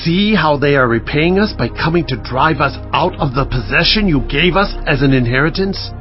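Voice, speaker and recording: A man's voice: fairly high pitch, lively intonation, normal speed, dull audio, faint music behind, natural voice. An actor playing a devout biblical king who pleads and exhorts earnestly in a dramatized audiobook. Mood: fervent pleading. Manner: earnest, declamatory oratory. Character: pleading, devout king of Judah praying before his people.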